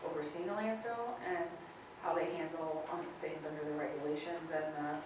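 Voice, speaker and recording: A woman with a low voice talks tentatively and explains as she goes at a public meeting. The sound is distant and heavily echoing, with noise behind her. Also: fairly even intonation, normal speed. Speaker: meeting participant. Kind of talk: spontaneous discussion at a public meeting. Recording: echoey meeting room, distant mic, noisy.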